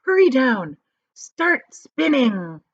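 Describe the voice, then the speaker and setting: A woman's voice: high voice, big pitch swings, slow pace, dull audio, pitched above the performer's own voice, heavily acted. A woman voicing a brave young boy who leads his friends with urgent orders in a storybook. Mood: urgent commands.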